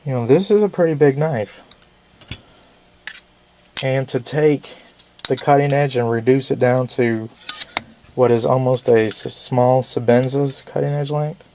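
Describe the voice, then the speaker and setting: A man's voice: medium pitch, measured pace, pauses often, dark tone, a little hiss or hum. A man, a little sick and losing his voice, giving a rambling casual knife review with frequent self-corrections.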